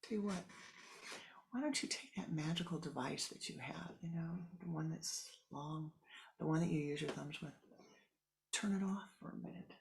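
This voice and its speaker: A storyteller voicing a calm, kindly yogi neighbor who asks gentle, soothing questions. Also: mid-range voice, normal speed, vocal fry, a little hiss or hum, natural voice. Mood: coaxing.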